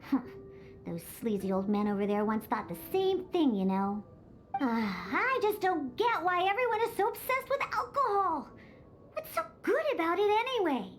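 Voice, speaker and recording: A woman's voice: very high voice, big pitch swings, normal speed, a little hiss or hum. A woman voicing a grumpy, sassy young cat-girl bartender in an anime game, childlike and dismissive. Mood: scornful.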